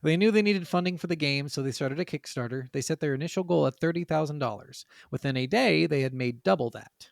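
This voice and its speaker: Male podcast co-host, noticeable vocal fry, dry sound, conversational with loose swearing. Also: fairly high pitch, a little fast, hardly any pauses, no room sound. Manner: heavy casual swearing. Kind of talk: casual video game podcast chat, partly reading from notes.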